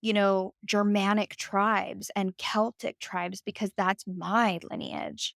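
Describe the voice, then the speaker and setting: Woman guest on a podcast, thinking out loud, lots of "like" and "right?", dry close sound. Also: medium pitch, flat delivery, takes it slowly, vocal fry, no echo. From United States. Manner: reflective, frequent "like" and "right?". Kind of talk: conversational interview.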